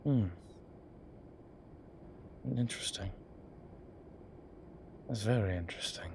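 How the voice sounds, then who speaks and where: A man voicing a flirtatious demon professor who keeps up a genteel, professional front, speaking softly and often in a whisper. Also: fairly low pitch, noisy background. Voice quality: soft, often whispered, unhurried. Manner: teasing, measured, genteel. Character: flirtatious male demon professor, composed, teasing. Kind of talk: audio roleplay.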